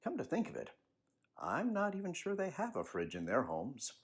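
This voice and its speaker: An older man with a husky voice, speaking expressively and without hurry like a children's author telling a story. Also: fairly high pitch, huge pitch swings, normal speed. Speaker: children's book author reading aloud. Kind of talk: personal commentary and storybook reading.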